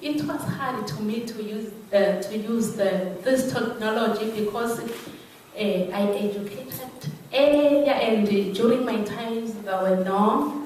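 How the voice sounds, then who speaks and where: Echoey, halting Swazi woman presenting slowly. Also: fairly high pitch, a little mellow. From Eswatini (Swaziland). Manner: halting, searching for words. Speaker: teacher. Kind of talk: live slide presentation to an audience. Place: large echoey room, speaking to an audience. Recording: echoey hall from far off, background noise.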